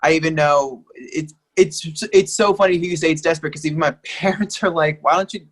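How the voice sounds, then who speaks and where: A young adult man with a fairly high voice chats casually. His delivery is informal and a bit hesitant, restarting his phrases. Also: fairly quick. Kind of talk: casual chat.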